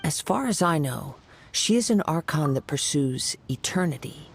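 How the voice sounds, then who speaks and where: An actor playing a calm, poetic young male wandering swordsman in a video game, speaking in a composed, measured, formal way. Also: on the high side, creaky, husky, full character voice. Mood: reflective.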